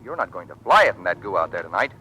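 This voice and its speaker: Loyal young american navy pilot, quick and lively, old radio show, engine rumble and noise behind. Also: male voice, exaggerated voice. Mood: earnest, concerned. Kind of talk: old-time radio drama acting. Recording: noisy vintage radio recording with engine rumble.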